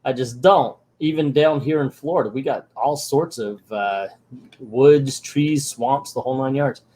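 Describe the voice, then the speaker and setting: A man's voice: mid-range voice, a little slow, darkish tone, husky. A man reviewing a comic book who reads it aloud and breaks off with wry, sarcastic asides in a casual manner.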